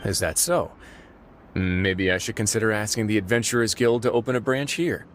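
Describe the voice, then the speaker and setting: An actor playing a calm, confident male prison warden who talks in a relaxed, dry, wry way in a video game. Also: lowish voice, normal speed, slight background noise, natural voice.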